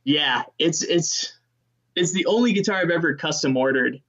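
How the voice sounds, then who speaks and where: A young guitarist with a fairly high voice talks loosely and warmly in an interview, full of man and dude. The sound is a little dull and muffled, as on a lo-fi recording. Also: normal speed. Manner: relaxed, chatty, says man and dude, laughs easily. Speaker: touring session guitarist, guest. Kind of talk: casual interview chat.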